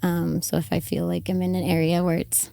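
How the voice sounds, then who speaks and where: Young woman podcast guest, bit hoarse, lots of um and like, dry close sound. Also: fairly low pitch, flat delivery, a little fast, dry recording. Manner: chatty, with frequent fillers and soft trailing off. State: slightly hoarse from karaoke and yelling. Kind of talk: casual interview chat. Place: van-life festival in the desert.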